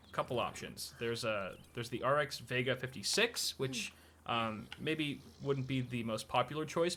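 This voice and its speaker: A man's voice: mid-range voice, pushed and bright, a little hiss or hum. A young American man hosting a casual live stream, chatting loosely with many filler words while giving advice. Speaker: streamer host.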